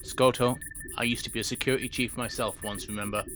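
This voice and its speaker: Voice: mid-range voice, fairly even intonation, fast, a bit bright, a little hiss or hum, natural voice. An actor playing a stern, suspicious space station principal and former security chief in an audio drama, speaking in a clipped, formal, authoritative way. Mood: guarded, businesslike. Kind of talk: science fiction audio drama acting.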